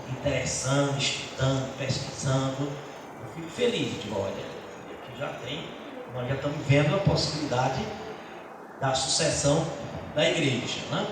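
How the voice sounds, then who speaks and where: A middle-aged man from Brazil, a pastor giving an earnest personal testimony in a church teaching talk, with halting restarts. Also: mid-range voice. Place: church hall. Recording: echoey church hall from far off, noisy.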